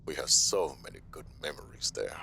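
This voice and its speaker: Casting: menacing crime villain for audio roleplay, gravelly fry, theatrical mocking politeness, faint noise underneath. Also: male voice, rumbling low, animated, bright, forceful tone, full character voice. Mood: menacing taunting. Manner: theatrical, slow menacing taunts with polite mockery. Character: menacing, gloating male mobster villain, taunting his brother.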